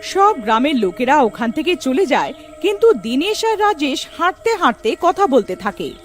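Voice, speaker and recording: Lilting high-voiced woman narrator. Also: sing-song, moderate pace, faint music behind. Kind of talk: scripted storytelling narration.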